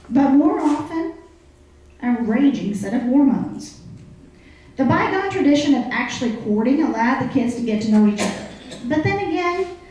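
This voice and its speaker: A woman's voice: fairly high pitch, a little mellow. A woman gives a folksy, coy, conversational humorous monologue as a commentator on a live stage show. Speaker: comic radio-show commentator. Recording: very echoey live stage recording.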